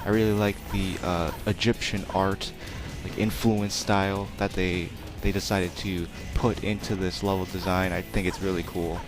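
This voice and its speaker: A young man giving rambling, casual let's play commentary with a naturally quiet voice. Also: sits low, little pitch movement, normal speed. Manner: rambling, chatty, self-deprecating, many fillers. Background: game music.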